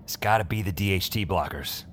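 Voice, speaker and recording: An actor playing a panicky, sardonic office worker who narrates an audio drama in the first person. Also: male voice, low voice, brisk, a bit bright, a little hiss or hum. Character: jittery, wisecracking office man hiding a secret. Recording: music bed under voice.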